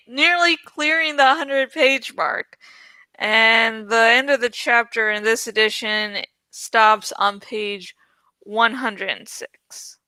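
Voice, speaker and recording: A woman's voice: a little high, sharp tone, no room sound. A woman host chatting in a rambling, informal, giggly way about a book, swearing freely. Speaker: host of a book read-along channel.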